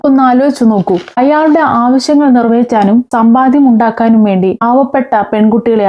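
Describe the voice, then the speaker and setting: Female vlog host, fairly high voice, explainer delivery, slightly dull lo-fi recording. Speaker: video host. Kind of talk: explainer vlog addressed to viewers. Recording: dull, lo-fi sound.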